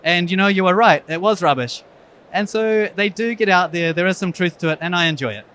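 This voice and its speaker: A man's voice: high voice, fairly quick, pushed and bright, clear, resonant tone. Man with a New Zealand accent. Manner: frank, self-deprecating, wry humor. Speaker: eye surgeon giving a conference talk. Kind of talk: conference presentation.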